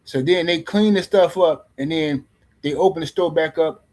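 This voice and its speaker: A man's voice: fairly high pitch, moderate pace, a little mellow. A man hosting a boxing livestream in casual commentary, loose and teasing, rambling and repeating words as he reads chat messages. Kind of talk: casual live-stream commentary.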